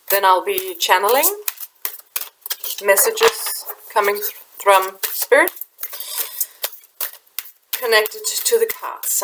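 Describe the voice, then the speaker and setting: A middle-aged woman from Denmark with a Danish accent, speaking English softly at her webcam, halting and repeating words as she gropes for them. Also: medium pitch, noisy background. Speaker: spiritual channeler. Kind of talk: casual spiritual vlog talk. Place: small room indoors. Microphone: webcam in front of her.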